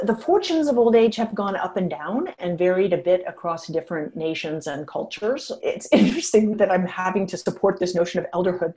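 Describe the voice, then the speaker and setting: A woman in her fifties, a geriatrician and author, answering interview questions frankly and with energy, laughing now and then as she talks. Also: lowish voice. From United States. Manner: frank, animated, laughs while talking.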